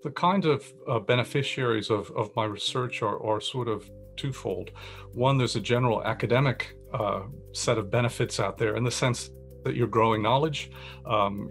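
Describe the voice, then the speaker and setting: A man, a professor, explaining his research in a steady, explanatory way with some restarts. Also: mid-range voice. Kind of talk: interview-style talk about research.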